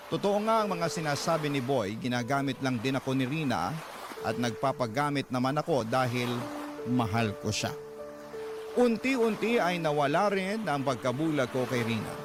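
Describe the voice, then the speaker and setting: Man narrating earnestly over music. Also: mid-range voice. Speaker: letter narrator in a drama. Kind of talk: scripted dramatic narration.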